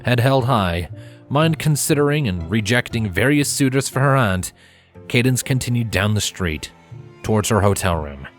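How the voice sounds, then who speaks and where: A man narrating a scripted story with expressive, dramatic delivery. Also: medium pitch, moderate pace, soft background music. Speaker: fan fiction narrator.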